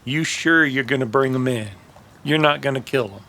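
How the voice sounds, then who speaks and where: A man's voice: medium pitch, moderate pace, bright, forceful tone. A man playing a wounded, grumbling, dry-witted cowboy in an old-time western radio drama, sounding exasperated and sardonic. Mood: terse.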